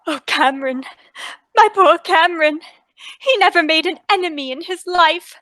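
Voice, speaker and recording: A woman playing a refined, tender lady of the manor with a measured, dramatic delivery in a radio play table read. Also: extremely high pitch, sharp tone, no echo, clean studio sound. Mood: grieving.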